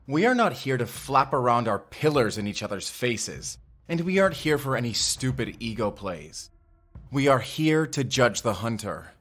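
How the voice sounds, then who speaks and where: A man's voice: mid-range voice, very animated, moderate pace, fairly bright tone. A man playing a blunt, impatient judge at a fantasy trial in an audio drama, with a no-nonsense manner.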